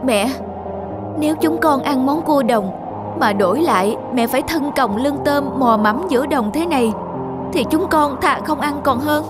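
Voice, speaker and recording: A woman voicing a grown child who speaks earnestly and pleadingly to a mother, for an animated story. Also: high voice, a little slow. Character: grown child, remorseful and caring. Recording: loud background music.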